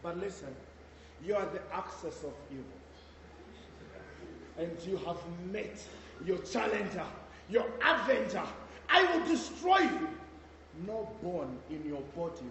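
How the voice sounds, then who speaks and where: A man playing a tyrannical school headmistress in a stage play, booming threats and sneering insults. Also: sing-song, a little slow, pauses often, a bit bright, heavily acted. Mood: raging. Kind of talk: amateur stage play acting. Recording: echoey hall, mic far off, noisy.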